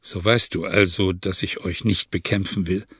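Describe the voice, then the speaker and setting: A man's voice: sits low, fairly even intonation, normal speed, fairly bright tone, husky, no room sound, pitched below the performer's own voice, full character voice. A man reading aloud and voicing a gloomy, reproachful tribesman in an adventure story. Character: gloomy Kurdish tribesman, wary adult man.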